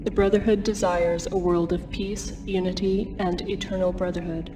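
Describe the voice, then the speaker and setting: A woman playing a calm computer archive in a video game, reading propaganda narration in a measured, formal, detached way. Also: lowish voice, close to an everyday voice. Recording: distant voice under loud music. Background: loud music.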